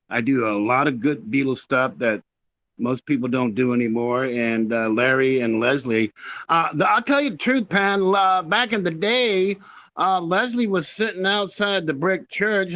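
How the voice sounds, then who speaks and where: A man's voice: mid-range voice, a bit bright. A man chatting casually and boastfully on a video call as a musician guest, rambling as he goes. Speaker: guest, rock musician friend of the band. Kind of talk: casual chat.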